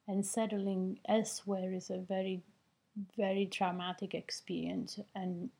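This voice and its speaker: A woman in her fifties speaks slowly and earnestly, often stopping to search for the right word. Her voice has a soft, husky edge. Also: medium pitch. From Italy. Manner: thoughtful, searching for words, earnest. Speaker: interviewee, immigrant parent. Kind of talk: reflective personal account.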